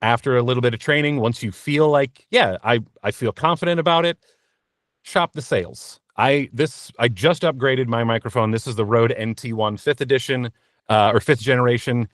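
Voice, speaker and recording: A man's voice: mid-range voice, normal speed, a bit bright, no room sound. Male interview guest, conversational and practical, explains things plainly, close dry recording. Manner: practical, explanatory advice-giving.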